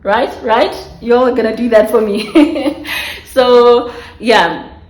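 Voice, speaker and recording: A woman's voice: a little high, extremely slow, a little mellow, echoey room. A woman from South Africa with a South African accent, casually explaining tips to her viewers at home, often restarting her phrases. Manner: chatty, restarts phrases, addresses viewers directly. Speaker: vlogger giving career tips. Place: home room by a street.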